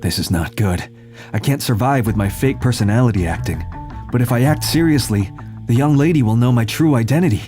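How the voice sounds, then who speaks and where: A man's voice: medium pitch, fairly quick, dark tone, close to an everyday voice. A man voicing an earnest teenage boy, a secret bodyguard posing as a meek student, in a manga dub. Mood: tense, calculating. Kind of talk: anime-style manga dub, acted dialogue and narration. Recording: music behind the voice.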